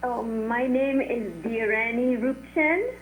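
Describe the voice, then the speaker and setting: A woman's voice: on the high side, slow. A woman, calling in to a show for advice. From Guyana. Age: 60s. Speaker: caller seeking advice. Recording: phone call with electrical hum.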